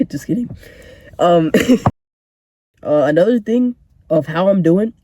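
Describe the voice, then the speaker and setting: A young man with a stuffed-up, congested voice, talking in a casual solo podcast. His tone is very dark and mellow, and he speaks close to the mic in a dry room. He sounds earnest and encouraging, with joking asides. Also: high voice, animated, slow, dry recording, low-quality recording. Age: young adult. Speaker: podcast host.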